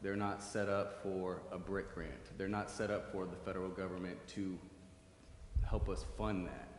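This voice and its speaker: A man's voice: deep voice, fairly even intonation, normal speed. A man explaining a project as an official at a public meeting in a council chamber. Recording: echoey hall, long reverb, faint noise.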